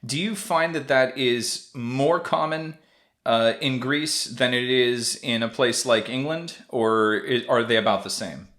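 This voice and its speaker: A man's voice: medium pitch, unhurried, fairly bright tone. A man hosting an interview podcast, asking long, rambling questions full of restarts and fillers. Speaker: podcast host. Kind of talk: casual interview chat.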